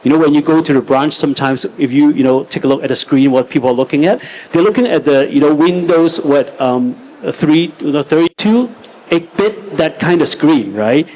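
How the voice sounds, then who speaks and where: A man's voice: fairly high pitch, moderate pace, a little mellow, low-quality recording. A man from Hong Kong speaking as a fintech panelist, hesitant with many restarts and fillers. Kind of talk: panel debate. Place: conference stage.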